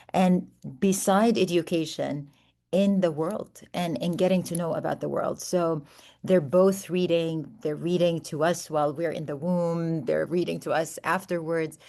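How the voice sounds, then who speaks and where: Woman in her 50s introducing herself on a panel, deep mellow voice, earnest and steady. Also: a little slow, gravelly. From Saudi Arabia. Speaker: contributing author.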